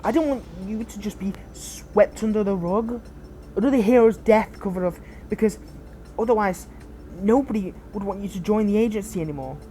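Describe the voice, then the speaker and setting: Woman doing a fallen hero for an audio rp, dark soft-edged tone, protective and bitter, halting, noisy background. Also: mid-range voice, close to an everyday voice. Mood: distraught, pleading. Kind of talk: scripted audio roleplay addressed to the listener.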